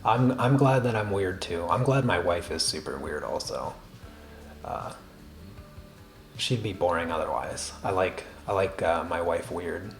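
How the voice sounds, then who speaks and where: A man with a very low, soft and quiet voice chats with viewers while drawing on a livestream. He talks slowly and deliberately, often repeating a word before going on. Faint music plays behind him. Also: expressive. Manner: slow, deliberate cadence with many restarts. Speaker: art livestream host.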